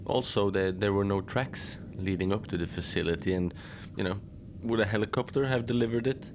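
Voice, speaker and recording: A man playing a chatty, wry manager of an Antarctic research facility in an audio drama, talking in a rambling, deadpan way with hesitant asides. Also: lowish voice, a little fast, lightly acted. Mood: bemused, chatty. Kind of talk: conversational monologue, log-style audio drama. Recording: noisy background ambience.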